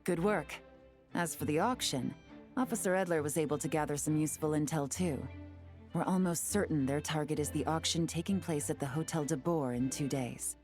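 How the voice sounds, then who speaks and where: Actress doing a low cool confident spy lady for a game, composed n shrewd, faint music. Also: a little mellow, natural voice. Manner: composed, measured, coolly confident. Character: poised, shrewd woman investigator, confident and sly. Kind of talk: video game character voice acting.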